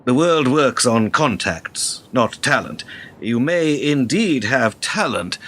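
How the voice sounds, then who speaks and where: An actor in a radio drama playing an ageing, smooth-talking political fixer, cynical, measured and self-assured. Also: male voice, medium pitch, animated, a bit bright. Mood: grave, persuasive.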